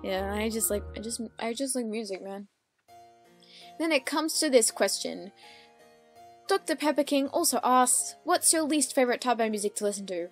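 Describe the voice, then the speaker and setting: A woman's voice: on the high side, lively intonation, moderate pace. A woman in a casual solo question-and-answer chat, speaking expressively with playful exasperation as a YouTuber. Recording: background music behind the voice. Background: music.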